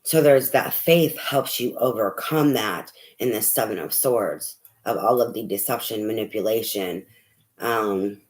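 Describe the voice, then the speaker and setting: This is a hoarse, fading voice from a tarot reader who is talking to camera. The delivery is soft and a little quiet. It wanders in a loose, casual way, padded with fillers and the occasional offhand curse. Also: medium pitch, measured pace, low-quality recording. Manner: rambling, filler-heavy, swears casually; speaking softly. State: losing voice, hoarse.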